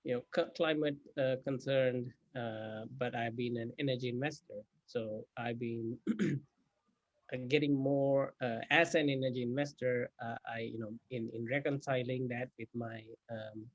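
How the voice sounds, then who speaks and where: Man, from Sri Lanka, halting with fillers, muffled remote sound, dry. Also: mid-range voice, fairly even intonation, no echo. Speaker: guest, energy investor. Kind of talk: conversational interview answer. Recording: dull dry remote audio.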